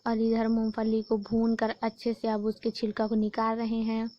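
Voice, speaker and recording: A young woman from Chhattisgarh, hoarse from a sore throat, chatting casually to her viewers in a home vlog. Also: on the high side, completely flat delivery, moderate pace, muffled recording. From Chhattisgarh, India. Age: young adult. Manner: chatty, keeps addressing viewers as friends. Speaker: vlogger. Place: village home during a festival.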